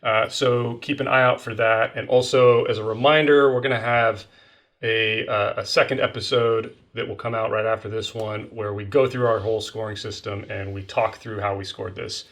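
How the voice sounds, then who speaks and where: A man's voice: lowish voice, fairly even intonation, moderate pace, fairly bright tone. A man co-hosting a casual spirits review podcast, upbeat as he steers the talk and tallies the scores. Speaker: podcast co-host.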